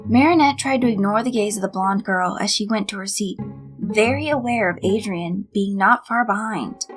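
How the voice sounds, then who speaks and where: A woman's voice: mid-range voice, moderate pace, low-quality recording. A woman reading a piece of fan fiction aloud as scripted story narration. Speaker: fan fiction audiobook reader. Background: background music.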